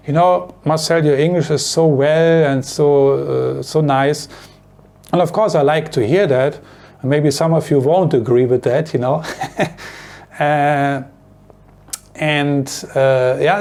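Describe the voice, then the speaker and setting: A man's voice: a little high, a little mellow. German man vlogging with a strong accent. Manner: rambling, repeats himself, many fillers, tags "right?" and "you know". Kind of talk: casual talk to camera.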